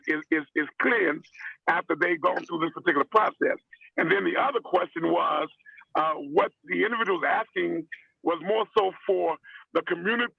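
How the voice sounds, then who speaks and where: A man's voice comes through a tinny, phone-like line, very gravelly and husky, fairly high. He moderates, asking questions haltingly with many uhs. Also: no echo. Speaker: forum moderator.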